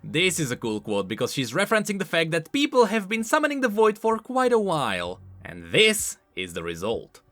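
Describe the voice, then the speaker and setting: A man hosting a game lore video essay, explaining in an expressive, informal and emphatic way, scripted but with casual asides. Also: a little high, normal speed, few pauses, no echo. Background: background music.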